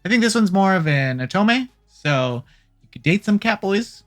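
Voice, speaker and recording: A host delivering casual gaming news commentary in an irreverent, jokey way, swearing casually, with a medium-pitched voice. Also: expressive, moderate pace. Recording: music playing behind the voice. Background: background music.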